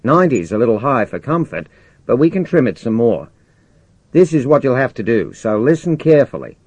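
A man playing a brisk, assured spaceship commander in an audiobook, persuasive and commanding. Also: mid-range voice, big pitch swings, darkish tone, breathy edge, no room sound, pitched above the performer's own voice. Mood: brusque, instructive. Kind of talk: audiobook character dialogue.

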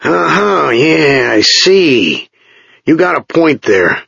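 A man's voice: fairly high pitch, big pitch swings, slow, vocal fry, a bit bright, slightly rough, no echo, pitched above the performer's own voice, heavily acted. A man voicing a weary, morose engineer who grumbles in a dry, unimpressed way in a storybook reading. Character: weary, morose male engineer far from home. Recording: dull lo-fi dry recording.

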